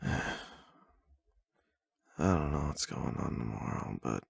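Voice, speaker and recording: A man with a husky, gravelly voice speaking softly and intimately in an unhurried bedtime ASMR style, close to the microphone. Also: a bass voice, expressive, no room sound. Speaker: ASMR boyfriend roleplay performer. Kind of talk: soft bedtime reading and intimate ASMR roleplay.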